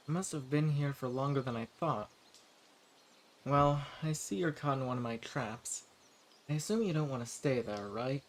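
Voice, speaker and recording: A man's voice: medium pitch, a little hiss or hum, lightly acted. A man playing an eccentric, sweet-talking old vampire. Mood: surprised, curious, then coaxing, solicitous. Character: centuries-old hermit male vampire, eccentric, sweet-talking, quietly menacing. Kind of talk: scripted roleplay audio drama, addressing the listener.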